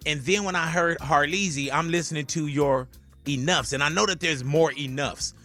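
A man hosts a radio show, talking in loose, joking banter full of slang. His voice is bright and forceful, with a slightly higher pitch than most men. Music plays faintly behind him. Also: moderate pace. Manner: loud, playful, laughing banter with slang. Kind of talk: casual radio interview.

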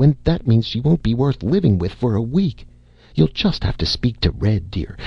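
Guy putting on a flustered rich wife, higher voice, rushed and fretful, gravelly underneath, dull old recording. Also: dry recording, full character voice. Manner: hurried, fretful. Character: anxious upper-class wife, middle-aged.

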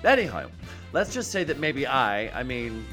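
An animated man speaks as a podcast host with music behind him. His delivery is theatrical and playful, swinging widely in pitch, with a gushing, chatty way of addressing listeners. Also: normal speed. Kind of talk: chatty podcast monologue and guest introductions.

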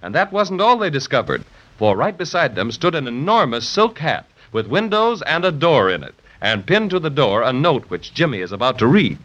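Bright, animated 1930s radio storyteller, male. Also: medium pitch, moderate pace. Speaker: narrator. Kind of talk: scripted 1930s children's serial storytelling. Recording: muffled old 1930s radio recording.

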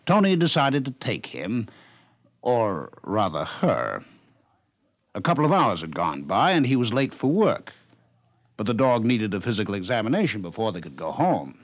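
Very animated 1950s radio narrator, man. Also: lowish voice, normal speed. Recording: noisy vintage 1950s radio recording.

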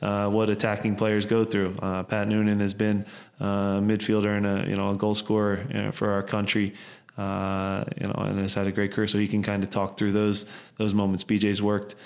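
A male soccer coach answers interview questions in a low, level voice that hardly moves in pitch. He rambles along, stacking up fillers and restarting his sentences. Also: monotone, moderate pace, darkish tone. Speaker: head coach of a soccer club.

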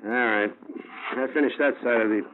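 A thin 1950s radio recording with faint noise. A man plays a gruff police underling in a crime drama. He grumbles and cracks wise in slangy, exasperated speech at a medium pitch. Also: moderate pace, phone-quality sound, close to an everyday voice. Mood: exasperated, reluctant.

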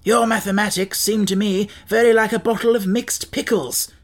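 A woman's voice: mid-range voice, steady tone, moderate pace, pitched above the performer's own voice, close to an everyday voice. A woman voicing a blunt, practical straw scarecrow man from a storybook, talking in a wordy, wry, emphatic way. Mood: wry, critical.

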